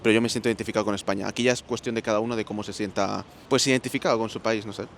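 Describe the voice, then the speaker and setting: A young man from Spain answering interview questions in a relaxed, anecdotal way. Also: lowish voice, very fast, slight background noise. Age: young adult. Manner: relaxed, anecdotal, fillers like pues.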